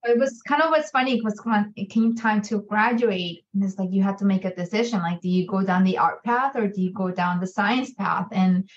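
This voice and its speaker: A woman's voice: mid-range voice, moderate pace, hardly any pauses. A middle-aged woman from Colombia, a native Spanish speaker, chatting informally as an interview guest. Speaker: podcast guest, physician assistant. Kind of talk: conversational interview answers. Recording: slightly dull, lo-fi sound.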